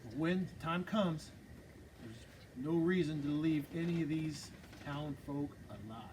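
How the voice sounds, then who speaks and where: A man's voice: fairly high pitch, measured pace, darkish tone, a little hiss or hum, lightly acted, poor audio. A man reading a smirking, menacing male outlaw gang leader in a script table reading, with drawling, bossy threats.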